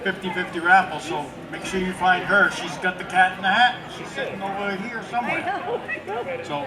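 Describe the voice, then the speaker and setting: A man with a notably high voice makes casual emcee announcements outdoors. His delivery is folksy and self-deprecating. He sounds distant and echoey, with loud music and crowd around him. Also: fairly even intonation, moderate pace. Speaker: concert emcee making announcements. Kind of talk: informal stage announcements to an audience. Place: outdoor summer concert.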